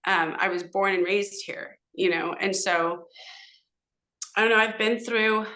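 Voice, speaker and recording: A woman's voice: medium pitch, steady tone, measured pace, bright, forceful tone. A middle-aged American woman from Southern California, a native Hebrew speaker, chatting casually and at length as a podcast guest in an informal, rambling way. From Southern California, United States. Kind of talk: casual conversational interview.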